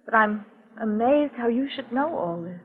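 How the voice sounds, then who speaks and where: A woman's voice: a little high, animated, normal speed, slightly rough. A woman playing a frightened heiress in danger in a 1940s radio drama. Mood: shaken, subdued. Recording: tinny phone-like 1940s radio recording.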